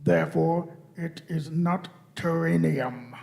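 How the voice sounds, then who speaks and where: A cold alien machine commander played by a man in a sci-fi radio play. The voice is slow, fairly high and gravelly, grating out each imperious order deliberately. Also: a little mellow, heavily acted. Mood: accusing.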